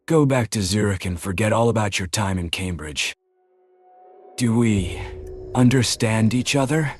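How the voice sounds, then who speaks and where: Brief: narrator doing a jovial, menacing male heavy, low voice, says "mate". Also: animated, unhurried, soft background music. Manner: cheery tone over quiet threats, says "mate". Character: menacing jovial male enforcer, thickset hired guardian.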